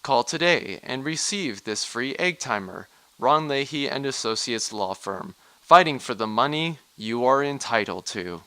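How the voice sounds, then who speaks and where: A man playing an earnest, solemn injury-lawyer pitchman in a parody advertisement. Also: expressive, a little slow, pushed and bright, dry recording, poor audio. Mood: solemn sales pitch.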